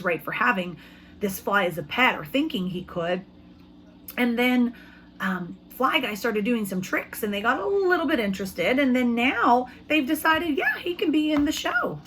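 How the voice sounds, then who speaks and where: A woman speaking as an elementary school teacher, reading aloud to children in simple, expressive language and putting questions to them. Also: on the high side, a little hiss or hum, low-quality recording.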